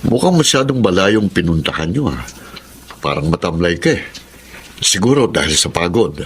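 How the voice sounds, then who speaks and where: An actor playing a blunt, teasing friend in a radio drama. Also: male voice, low-pitched, very animated, normal speed, a little hiss or hum.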